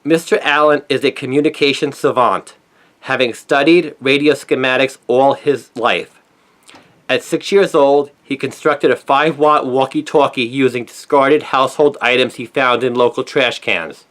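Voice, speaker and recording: A man's voice: mid-range voice, steady tone, normal speed, a bit bright. A man narrates a news story, reading from a written script in a scripted style. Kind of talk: scripted narration, read aloud.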